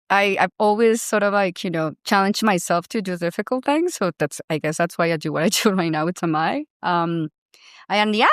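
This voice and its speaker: A woman's voice: mid-range voice, smooth, no echo, clean studio sound. A woman in her thirties with a Colombian accent, a doctor and founder chatting as a podcast guest, filling her talk with "you know" and "like". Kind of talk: casual interview conversation.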